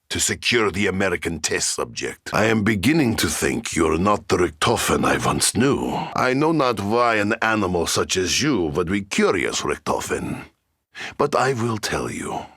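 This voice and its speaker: An actor playing a gruff, boastful, world-weary Russian soldier in a video game, with a thick Russian accent, a rough voice and broken English that drops its articles. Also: male voice, low voice, a bit bright, no room sound, studio quality, full character voice. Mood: grave, wary. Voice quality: gravelly, rough. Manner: broken English, dropping articles, speaking of himself by name. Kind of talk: video game character voice lines.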